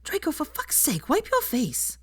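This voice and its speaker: Woman playing a fiery, high-pitched, sweary young woman. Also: huge pitch swings, moderate pace, no room sound, studio quality. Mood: hissing irritation. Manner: sharp, sarcastic, cursing.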